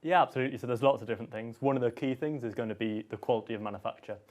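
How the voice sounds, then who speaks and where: Man, technical analyst, eager, explanatory, mid pitch. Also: very fast, darkish tone. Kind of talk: technical commentary.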